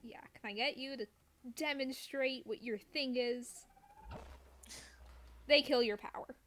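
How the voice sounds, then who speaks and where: A woman's voice: high voice, measured pace, a bit bright. A woman hosting a game stream, chatting casually about play in a playful, self-deprecating way. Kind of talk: casual live gameplay chat.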